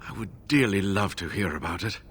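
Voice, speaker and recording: An actor playing a weary, earnest scholar in a fantasy video game, speaking in a measured, formal, rueful way. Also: male voice, sits low, expressive, a bit bright, a little hiss or hum.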